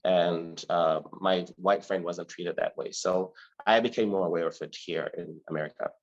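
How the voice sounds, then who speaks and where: A man's voice: low voice, steady tone, normal speed. A man from Zimbabwe answering interview questions about his life and art in a thoughtful, hesitant way, with many fillers and restarts. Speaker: artist guest. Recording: slightly dull lo-fi recording.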